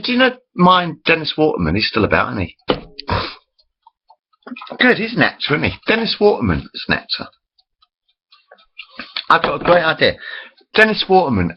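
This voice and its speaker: A man's voice: fairly high pitch, breathy edge, lo-fi sound. A man in his forties rambling chattily to his webcam, interrupting himself often. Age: 40s. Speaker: vlogger. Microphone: built-in computer camera mic.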